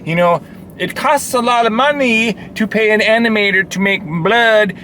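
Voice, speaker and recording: A man's voice: high-pitched, normal speed, pushed and bright. A man co-hosting a casual movie chat, joking around and swearing. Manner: joking, profane. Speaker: co-host of brothers' movie review vlog. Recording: noisy in-car recording.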